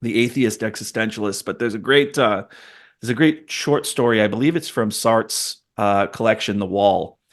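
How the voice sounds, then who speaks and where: A man's voice: on the low side, normal speed. A man in his forties hosting a podcast, talking in a thoughtful, rambling, storytelling way. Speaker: podcast host. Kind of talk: casual conversation.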